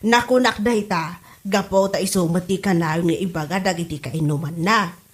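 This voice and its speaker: A woman's voice: on the low side, moderate pace, close to an everyday voice. A woman playing a gossipy, disapproving older neighbour in a comedy audio drama, with an Ilocano Filipino accent.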